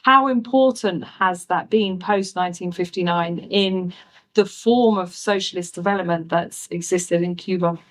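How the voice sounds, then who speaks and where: A woman hosting an interview, laying out background before each measured question. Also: fairly low pitch. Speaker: interviewer, host.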